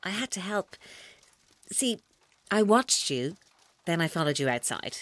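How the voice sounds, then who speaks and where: A woman playing an obsessive, menacing young woman in a radio drama, speaking in a deliberate, coaxing, unsettlingly calm manner. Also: lowish voice. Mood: quietly intense. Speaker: actor in an audio drama. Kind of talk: naturalistic radio drama acting.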